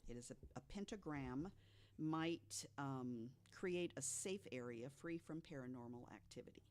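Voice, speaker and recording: Podcast co-host, mid-pitched, deliberate delivery from notes, conversational with emphatic asides. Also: lively intonation, a little mellow. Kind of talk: storytelling from notes to co-hosts.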